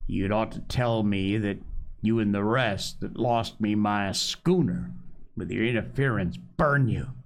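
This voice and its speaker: A man's voice: lowish voice, measured pace, voice pushed down. A man voicing a cunning, domineering pirate sea cook in a storybook reading, taunting and blustering in salty dialect. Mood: scolding, defiant.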